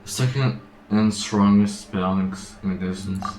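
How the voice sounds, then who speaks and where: A man playing through a game, mumbling short, halting remarks and reading bits of text aloud. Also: on the low side, darkish tone, reverberant, recorded from a distance.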